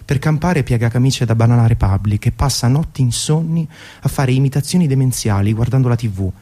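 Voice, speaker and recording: A man's voice: medium pitch, a little fast, warm tone. A man reading a scripted narration as a radio presenter, with dramatic, literary storyteller phrasing. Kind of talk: scripted storytelling narration, guided music programme. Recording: narration over prominent background music. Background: music.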